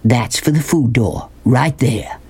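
A gruff, bullying country man from a storybook, voiced by a woman reader, speaking with a drawl. He is folksy and pushy, with a mocking edge to what he says. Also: rumbling low, slow, darkish tone, exaggerated voice. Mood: pushy, instructing. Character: gruff, bullying, sweaty-faced rural motel man.

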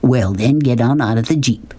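A woman reading a storybook as a gruff, mocking, bullying rural man. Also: extremely low pitch, normal speed, mellow. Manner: folksy, pushy, mocking.

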